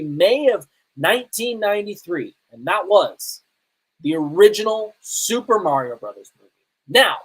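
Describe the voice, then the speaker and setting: A woman's voice: medium pitch, poor audio. A woman hosting a live podcast with casual, emphatic, opinionated commentary in a conversational way. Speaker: podcast host.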